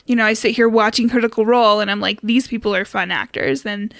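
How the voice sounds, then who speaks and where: A woman's voice: fairly high pitch, steady tone, fast, fairly bright tone, no echo, studio quality. A woman co-hosting a podcast in casual, informal conversation with a giggly manner. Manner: informal, giggly, mildly sweary. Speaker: podcast co-host.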